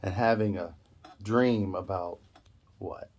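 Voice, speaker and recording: A man's voice: low-pitched, takes it slowly, darkish tone, a little hiss or hum. A middle-aged man guiding young children through reading, explaining in short simple phrases and prompting with questions. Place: small indoor room at a table.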